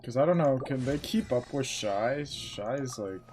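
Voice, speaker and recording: A man's voice: medium pitch, expressive, measured pace, darkish tone, faint music behind. A man live-streaming games, chatting casually and reacting in offhand fragments, muttering to himself. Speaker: game streamer. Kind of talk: casual livestream commentary and chat.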